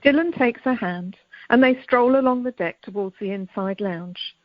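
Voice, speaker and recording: A woman's voice: a little high, normal speed, no room sound, natural voice. A woman as the storyteller of an amateur radio play, narrating scene directions plainly from a script. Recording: dull telephone conference line.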